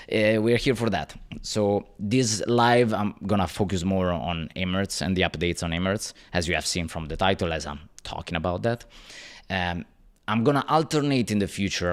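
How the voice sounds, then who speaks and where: A man in his thirties hosting a casual livestream, greeting viewers by name and chatting with lots of fillers and restarts. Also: fairly low pitch. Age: 30s. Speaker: YouTube channel host. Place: rented apartment.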